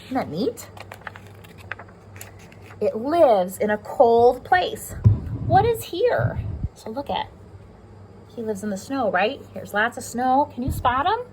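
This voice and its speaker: Animated woman reading to little kids. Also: on the high side, slow pace, pauses often, slight background noise, poor audio. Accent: American. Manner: animated, questioning, addressing young children. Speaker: pre-K teacher. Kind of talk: children's picture book read-aloud with simple explanations.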